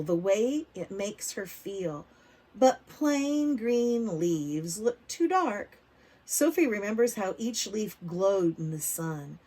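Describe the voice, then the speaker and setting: A woman reading a picture book aloud to preschoolers, expressive, stretching words for emphasis and putting questions to the children. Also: mid-range voice, husky. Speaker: read-aloud storyteller for preschoolers.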